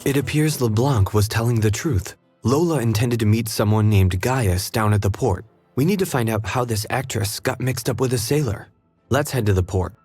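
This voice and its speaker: An actor playing a composed, earnest detective in an adventure game, courteous and deliberate as he guides his partner. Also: medium pitch, a little mellow, husky, soft background music, close to an everyday voice. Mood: composed, resolute. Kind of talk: scripted adventure game dialogue.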